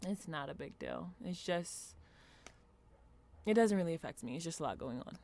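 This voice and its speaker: A woman's voice: deep voice, lively intonation, moderate pace, a little mellow. A woman playing a guarded, concerned old friend in a naturalistic audio drama. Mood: guarded, subdued.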